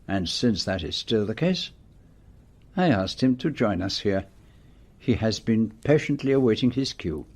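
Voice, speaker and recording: A man acting in a radio mystery drama as a shrewd, methodical detective who speaks precisely and deliberately, with theatrical pauses. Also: on the low side, lively intonation, darkish tone. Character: shrewd, methodical detective explaining his solution.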